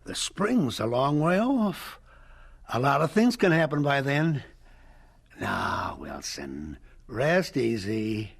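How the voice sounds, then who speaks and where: A man acting a self-assured older college president in an audio drama, ponderous and hesitant, with a gravelly voice. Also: medium pitch, very animated, slow, full character voice. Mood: smug, reassuring. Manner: hesitant, ponderous, hemming and hawing.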